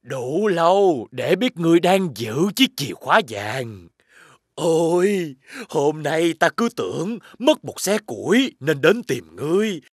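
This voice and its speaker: Greedy, mocking cartoon villain, swooping pitch. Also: male voice, big pitch swings, unhurried, no room sound, studio quality, full character voice. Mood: menacing, smug. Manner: mocking, domineering. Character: menacing, greedy, scornful villain man. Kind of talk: animated fairy-tale cartoon voice acting.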